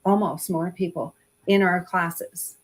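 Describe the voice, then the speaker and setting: A woman's voice: lowish voice, slow. A woman guest on an online talk, speaking emphatically and telling personal stories with energy. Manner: emphatic, animated storyteller. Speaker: guest expert. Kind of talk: casual chat.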